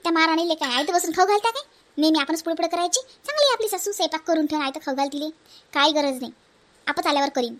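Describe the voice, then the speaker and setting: Outspoken, sarcastic younger sister-in-law for a family cartoon, played by a woman: high, rapid, emphatic, Varhadi Marathi accent. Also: animated, a little hiss or hum. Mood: sarcastic, indignant. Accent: Varhadi Marathi from Vidarbha. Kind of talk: homely family cartoon voice acting.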